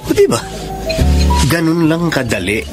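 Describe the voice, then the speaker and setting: An actor with a Filipino accent playing a glib, evasive married lover in a radio romance drama, turning defensive. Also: male voice, fairly high pitch, huge pitch swings, unhurried. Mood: coaxing, callous. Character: callous, evasive married male lover, a smooth-talking cheat. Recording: melodramatic music behind the voice.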